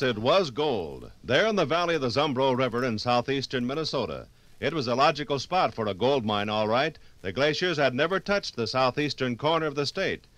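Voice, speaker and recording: A man reading scripted 1950s radio narration like a storyteller, with dramatic pauses. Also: medium pitch, fairly bright tone, dry recording.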